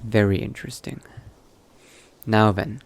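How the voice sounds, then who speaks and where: A man playing a newly turned vampire mage in a game roleplay, musing to himself in a halting, politely understated way. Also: on the low side, fairly even intonation, gravelly. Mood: thoughtful. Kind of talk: in-character video game roleplay narration.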